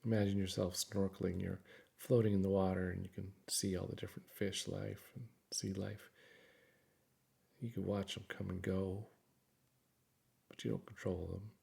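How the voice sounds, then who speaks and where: A man narrating a guided meditation in a calm, soothing, measured way, with frequent pauses. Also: sits low, creaky.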